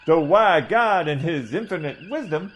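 A man's voice: a little high, animated, measured pace, lo-fi sound, pitched above the performer's own voice. A man voicing a pompous old male ship's doctor in a comedy audio drama, talking in a florid, preachy way.

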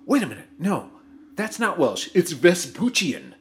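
A man's voice: high voice, huge pitch swings, measured pace, full character voice. A man voicing a greedy, whiny male crewman in a comedy audio drama, given to giddy squeals.

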